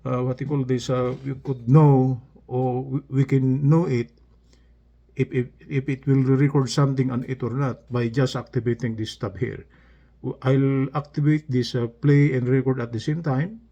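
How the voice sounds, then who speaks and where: Man, very soft-edged dark tone, mid pitch, informal tutorial, halting, repetitive, step-by-step explaining. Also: fairly even intonation, dull audio. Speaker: instructor demonstrating a device.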